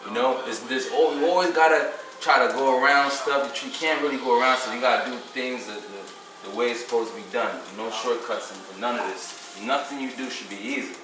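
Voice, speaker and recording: A man's voice: medium pitch, fairly quick, a crowd in the background. A man talking loosely and at length as host, preaching in a casual way with frequent repeats and swearing. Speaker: vegan podcast host. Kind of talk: casual rambling talk. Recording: roomy echo, mic at a distance.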